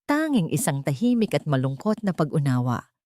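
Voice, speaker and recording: Low-pitched woman narrating a drama. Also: very animated, normal speed, dark tone, dry recording, studio quality. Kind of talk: scripted audiobook narration, first person.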